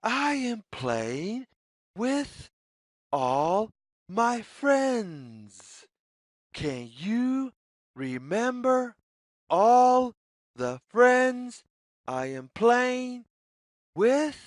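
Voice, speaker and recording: A woman reading a children's story aloud in a deliberate, singsong way, as if inviting young listeners to follow along. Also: on the high side, sing-song, no echo, studio quality. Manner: deliberate, singsong, repetitive phrasing.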